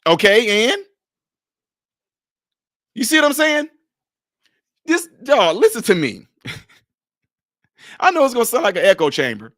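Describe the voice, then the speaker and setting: A man giving animated casual commentary on a video clip, with pointed rhetorical questions. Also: extremely high pitch, slow pace, pauses often, no room sound, clean studio sound. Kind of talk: casual live-stream commentary.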